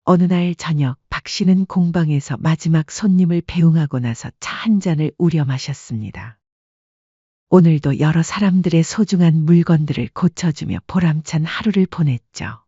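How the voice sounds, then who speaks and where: A woman reads a scripted story calmly and evenly. Her voice is husky and mellow. The recording sounds dull and dry, close to the mic. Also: sits low, moderate pace, dry recording. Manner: calm, even storytelling. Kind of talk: scripted audiobook narration.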